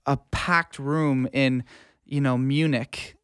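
Clear-voiced man chatting earnestly, dry sound. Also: medium pitch, steady tone, takes it slowly, fairly bright tone, dry recording. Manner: colloquial, earnest, peppers talk with "man" and "like". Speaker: interview guest, audio engineer and music producer. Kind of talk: casual interview conversation.

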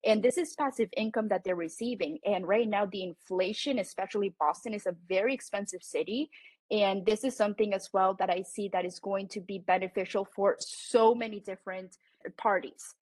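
A Colombian American woman whose first language is Spanish talks earnestly as a company founder. She stumbles and repeats words as she goes, at a mid pitch for a woman. The recording is close and dry, with no room sound. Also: a little fast, darkish tone, dry recording. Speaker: founder and CEO of a tutoring company. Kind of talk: podcast guest explaining her business.

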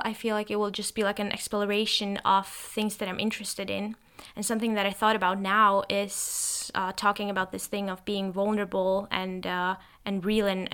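A young Norwegian woman, tired, talking in a hesitant, reflective way, with many pauses and fillers. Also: medium pitch, pushed and bright, clean tone. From Norway. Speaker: podcast host. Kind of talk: casual solo monologue. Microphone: testing a new microphone.

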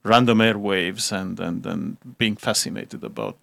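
A man from Estonia with an Estonian accent answers interview questions as a guest. His voice is fairly low and gravelly, recorded close and dry. He often stammers on the opening word, then talks on thoughtfully. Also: unhurried, fairly bright tone, no room sound. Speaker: podcast guest, radio amateur.

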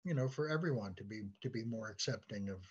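A man speaking as a podcast guest in a halting, conversational way, often repeating words as he goes. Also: lowish voice, lively intonation, darkish tone, breathy edge, lo-fi sound. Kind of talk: conversational discussion.

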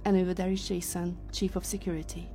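Sci-fi audio drama: actress as female time-traveler leader, mellow, measured, in command, light music bed. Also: lowish voice, level tone, natural voice. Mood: composed. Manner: measured, authoritative, explanatory. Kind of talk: science fiction audio drama acting.

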